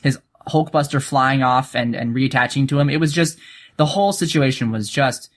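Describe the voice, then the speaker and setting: A man's voice: medium pitch, normal speed. A man chatting casually as a podcast co-host, enthusiastic and emphatic. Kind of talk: casual chat.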